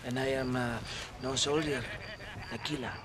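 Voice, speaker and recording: An actor voicing a sly, theatrical Mexican army captain with a Mexican Spanish accent, who swings between mocking laughter and hushed, mournful confiding. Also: male voice, mid-range voice, steady tone, moderate pace, natural voice. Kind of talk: video game cutscene acting. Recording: noisy outdoor game soundscape.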